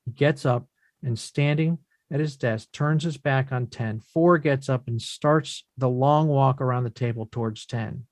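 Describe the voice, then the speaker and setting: A man reading stage directions from a play as measured, descriptive scripted narration. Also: mid-range voice, dry recording.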